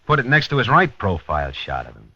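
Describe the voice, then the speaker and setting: Hard-edged, bright-voiced American police lieutenant. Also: male voice, lowish voice, very animated, quick pace, slightly rough, no room sound, heavily acted. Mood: keen, wry. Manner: clipped, colloquial hard-boiled delivery. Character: dogged, weary, blunt male police lieutenant. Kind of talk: 1950s radio drama acting and first-person narration. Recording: dull vintage 1950s radio recording.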